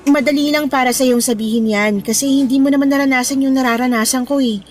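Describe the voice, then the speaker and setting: Actress as high-voiced, ambitious young working woman. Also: steady tone, quick pace, lightly acted. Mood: weary, frustrated. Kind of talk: radio romance drama acting. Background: background music.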